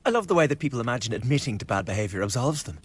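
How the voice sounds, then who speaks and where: An actor playing a sardonic, contemptuous young man who mocks his father with glib, lively remarks in a radio drama. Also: mid-range voice, racing, a little hiss or hum, close to an everyday voice. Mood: mocking. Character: mocking, cold young man, the returning son.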